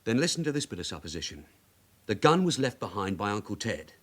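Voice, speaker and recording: Brisk, shrewd veteran police sergeant. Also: male voice, lowish voice, sing-song, fairly bright tone. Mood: urgent, determined. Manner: brisk, persuasive, controlled. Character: shrewd veteran London detective sergeant, wily and insistent. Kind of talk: radio crime drama acting.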